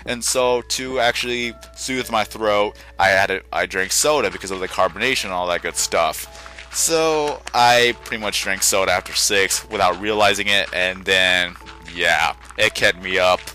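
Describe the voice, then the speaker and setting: A tired young man rambling through casual gameplay commentary, often restarting his sentences and correcting himself, with a soft, quiet voice. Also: low voice, normal speed. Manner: rambling, self-correcting chatter. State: tired from little sleep. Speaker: gaming channel host. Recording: game music faintly underneath. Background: faint game music.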